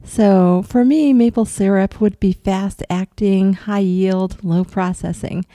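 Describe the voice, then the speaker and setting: A woman from upstate New York with a cold, casually telling viewers about an experience in a rambling way, with ums and soft laughs. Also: mid-range voice, fairly even intonation, takes it slowly, dry recording. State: has a cold. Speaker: vlogger recounting astral travel.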